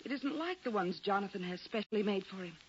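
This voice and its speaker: A woman's voice: mid-range voice, lively intonation, fast, close to an everyday voice. An actress playing an earnest, anxious, imploring married woman in a 1940s radio drama. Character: anxious, pleading wife standing by her lover. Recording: muffled, hissy 1940s radio recording.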